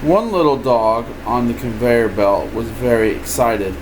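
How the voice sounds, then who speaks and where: An older man reads a children's storybook aloud at a slow pace. His delivery is informal and expressive, like a grandfather at home, and there is a clear air conditioning hum behind his voice. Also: medium pitch. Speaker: grandfather reading a picture book aloud. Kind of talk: storybook read-aloud with playful asides. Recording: steady room noise, air conditioning hum.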